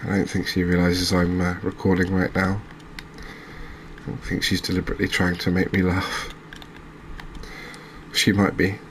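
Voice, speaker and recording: A man with an English accent giving soft-spoken ASMR game commentary in a hushed, unhurried, hesitant manner. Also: low-pitched, monotone, a little hiss or hum. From England. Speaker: gaming video host.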